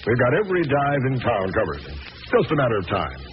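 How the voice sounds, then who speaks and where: An actor playing a busy, dismissive police commissioner with a brusque, skeptical manner in 1940s radio drama style. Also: male voice, mid-range voice, huge pitch swings, fairly quick. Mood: dismissive, impatient. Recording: muffled, noisy vintage radio recording.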